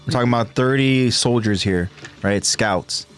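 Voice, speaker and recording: A man's voice: medium pitch, moderate pace. A man hosting a podcast in a casual, informal way, with a slightly nasal voice. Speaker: podcast host. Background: background music.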